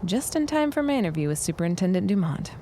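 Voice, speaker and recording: A woman's voice: on the low side, very animated, fast, warm tone, a little hiss or hum. An actress playing a wry, practical woman in a period audio drama, dry and plain-spoken.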